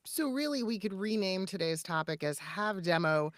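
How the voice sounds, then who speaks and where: A woman's voice: medium pitch, lively intonation, normal speed, no echo, studio quality. A woman co-hosting a casual talk as a voice-over coach, making points emphatically and with rhetorical questions. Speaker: podcast co-host and voice-over coach. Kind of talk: casual conversational advice.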